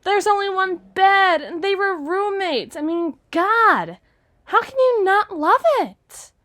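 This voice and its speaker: A woman's voice: extremely high pitch, sing-song, slow, a bit bright, no echo, clean studio sound, full character voice. A woman playing a gushing, obsessively lovestruck teenage girl writer in an ASMR roleplay monologue, flustered and rambling. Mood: gushing, excited.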